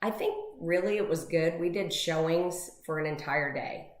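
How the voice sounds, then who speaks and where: A low-pitched woman talks in a loose, chatty way, full of "you know" and restarts. Her voice is worn and fading, and she sounds as if she is in an echoey room. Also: moderate pace. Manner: chatty, emotional, rambling with many fillers. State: losing her voice. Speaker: co-host of a real estate talk show. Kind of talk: casual chat.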